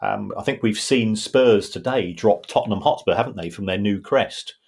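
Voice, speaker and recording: A man's voice: lowish voice, normal speed, gravelly, darkish tone, breathy edge. A man co-hosting a podcast, explaining football finance in a casual, talky way, often restarting his sentences. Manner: explanatory, many restarts and repeated words. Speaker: football finance pundit, co-host.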